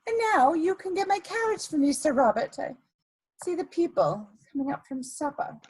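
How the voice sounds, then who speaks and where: A woman acts out an elegant society schemer in a period stage drama. Her voice is high and swoops expressively, with deliberate, imperious phrasing that stays coolly ruthless. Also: very animated, darkish tone, poor audio. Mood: smug, gracious.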